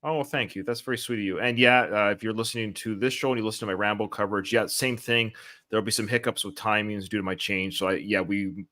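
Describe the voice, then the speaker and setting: A man's voice: on the low side, steady tone, fast, sharp tone. Tired Canadian man, rambling. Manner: rambling, loses his thread, laughs at himself. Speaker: podcast host. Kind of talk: casual podcast chat.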